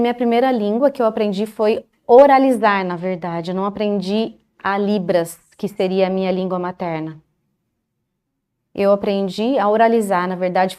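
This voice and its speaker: A woman recounting her own life story in a calm, measured way, pausing often between short phrases. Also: medium pitch. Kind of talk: first-person life story in a TV interview.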